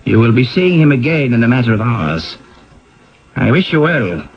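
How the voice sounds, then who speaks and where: An actor playing a brisk, demanding male newspaper editor in a 1970s radio drama. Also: mid-range voice, very animated. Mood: grave. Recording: dull vintage radio recording.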